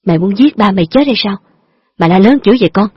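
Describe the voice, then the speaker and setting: A woman's voice: mid-range voice, animated, fast talker, slightly rough, no room sound, muffled recording. A woman voicing an old father who gambles, sounding wheedling and defensive. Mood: pleading.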